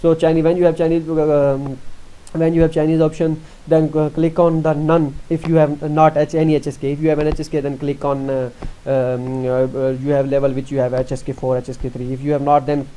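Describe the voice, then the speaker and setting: A young man from Pakistan with a Pakistani accent, explaining an online form step by step, halting often with fillers and restarts. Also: on the high side, flat delivery, warm tone, low-quality recording. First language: Urdu. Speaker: tutorial presenter. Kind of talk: screen-recorded walkthrough.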